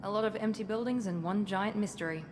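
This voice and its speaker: An actress playing a confident, critical covert operative in a video game, speaking in an aloof, self-assured way. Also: female voice, medium pitch, quick pace, lightly acted. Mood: detached, matter-of-fact. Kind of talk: video game cutscene acting. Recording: clear ambient noise behind.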